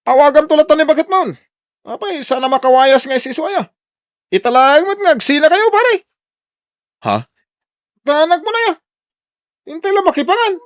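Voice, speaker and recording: A man's voice: extremely high pitch, lively intonation, normal speed, pauses often, no room sound, muffled recording. A man with an Ilocano accent playing a hard-drinking husband in an audio drama, boisterous with friends, belligerent or sheepish at home.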